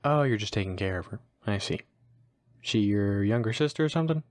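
Man as gruff kindly neighbor, audio roleplay, slangy, lowish pitch, close dry sound. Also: lively intonation, a little fast, dry recording, close to an everyday voice. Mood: curious, laid-back. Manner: casual, blunt, slangy. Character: gruff but kindly male neighbor, streetwise, easygoing.

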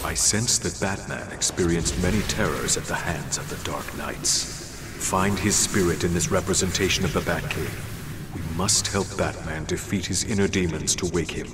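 An actor playing a noble, steadfast alien telepath hero, speaking in a formal, grave manner. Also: male voice, sits low, normal speed, background noise, natural voice. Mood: urgent concern. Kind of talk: video game hero acting.

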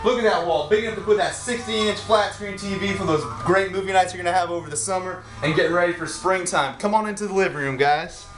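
Young salesman, high bright voice, eager pitch, roomy echo, camera a few steps off, music behind. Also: quick pace, few pauses. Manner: enthusiastic, pushy salesman patter. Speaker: home salesman giving a walkthrough tour. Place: inside an empty show home. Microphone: handheld camera from a few steps away. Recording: echoey rooms, mic at a distance, music behind.

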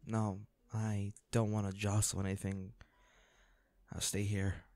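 A man's voice: lowish voice, monotone, a little slow, a little mellow, no echo. Man acting a guilt-ridden, pleading boyfriend, close and dry. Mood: gentle, tender. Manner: pleading, tender, apologetic. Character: guilt-ridden, devoted boyfriend at a hospital bedside. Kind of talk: intimate audio roleplay acting.

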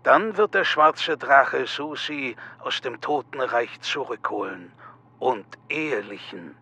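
An actor playing a sinister, taunting cult leader in an audio drama, measured, menacing and husky. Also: male voice, medium pitch, expressive, bright, forceful tone, exaggerated voice. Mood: solemn, taunting. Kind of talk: German audio drama acting.

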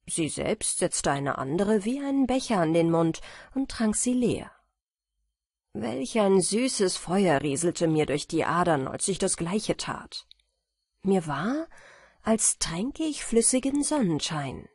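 A woman narrating a bedtime fairy tale audiobook in a gentle, measured storytelling manner. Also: on the low side, very animated, slightly rough, no room sound, clean studio sound.

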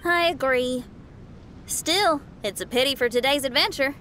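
Upbeat high-voiced young musician. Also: female voice, measured pace, bright, forceful tone, a little hiss or hum. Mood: friendly, considerate. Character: friendly, upbeat young woman musician and adventurer. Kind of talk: anime video game voice acting.

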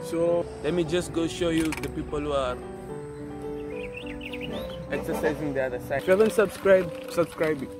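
A man's voice: fairly high pitch, moderate pace, long pauses, over music. A young man, casually vlogging outdoors while riding a bicycle and chatting straight to his viewers. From Kenya. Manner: chatty, keeps calling out to viewers as "guys". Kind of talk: casual vlog to camera. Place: outdoors on an open roadside. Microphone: phone held at arm's length.

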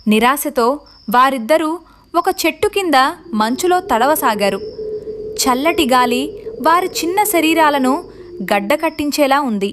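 A woman's voice: high voice, animated, a little slow, long pauses, fairly bright tone, slight background noise. A woman narrator reading a scripted story for children.

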